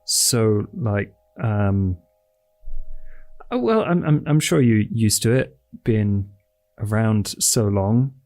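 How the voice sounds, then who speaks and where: A man's voice: lowish voice, animated, a little mellow. A man in a tabletop game voicing an awkward young male vampire who stammers and trails off nervously. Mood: flustered. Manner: halting, stammering, flustered. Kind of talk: tabletop roleplay in character. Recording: music bed under the voice.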